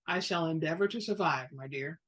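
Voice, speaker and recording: A woman voicing an old male wizard from a storybook, croaky, courteous and wry, with formal speech. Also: low voice, fairly quick, fairly bright tone.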